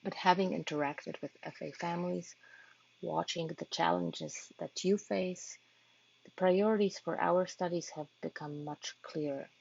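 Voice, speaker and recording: A low-voiced woman from Poland with a heavily gravelly, husky tone, presenting her research to patient families. She speaks in a measured, earnest way and pauses now and then to find a word. The audio is dull and lo-fi, as from an online talk. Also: steady tone, a little mellow. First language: Polish. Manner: measured, earnest, occasional hesitations. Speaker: physician-scientist giving a research talk.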